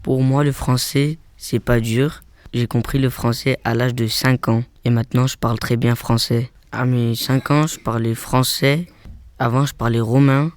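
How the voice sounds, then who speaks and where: A man's voice: mid-range voice, fairly even intonation, moderate pace, no echo. A young man in his late teens speaks confidently and matter-of-factly as a student. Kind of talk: personal testimony.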